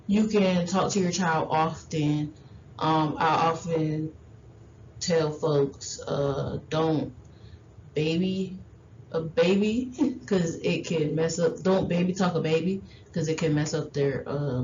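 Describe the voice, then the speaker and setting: A woman whose first language is Haitian Creole gives parenting advice in a loose, rambling presentation. Her low voice moves slowly, full of ums and restarts. The recording is dull and lo-fi, with a faint hiss underneath. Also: a little mellow. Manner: rambling, many fillers and restarts. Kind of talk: informal class presentation.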